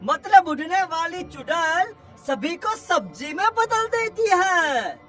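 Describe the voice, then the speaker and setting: Comic rustic village man for a cartoon, male actor: high, animated, panicky, exclaiming. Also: slow pace, soft background music, exaggerated voice. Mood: astonished. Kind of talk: cartoon voice acting.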